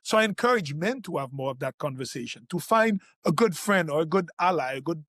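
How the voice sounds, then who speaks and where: A man with a fairly high voice, speaking English as a second language. He explains things earnestly as a doctor hosting a health podcast and often restarts his sentences. The sound is dry and close. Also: animated, a little fast, a bit bright, no echo. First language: French or Creole. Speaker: physician podcast host.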